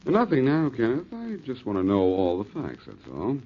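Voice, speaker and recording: An actor playing a breezy, confident, needling detective in a 1940s radio drama. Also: male voice, mid-range voice, wildly swooping pitch, moderate pace. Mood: wry, probing. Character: wry, confident amateur detective, adult man. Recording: dull, noisy 1940s radio recording.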